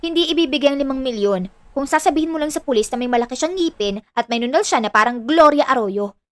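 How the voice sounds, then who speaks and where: Money-hungry younger sister played by a woman: high-pitched, sassy, bossy, sarcastic; dry audio drama sound. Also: lively intonation, fast, no echo.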